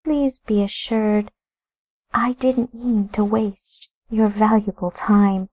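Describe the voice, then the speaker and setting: A woman's voice: mid-range voice, steady tone, slow, a little mellow, pitched above the performer's own voice. A woman voicing a poised, scheming wife who speaks in a lilting, formal and cool manner. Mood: polite dismissal. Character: poised scheming wife and sister, grave and composed.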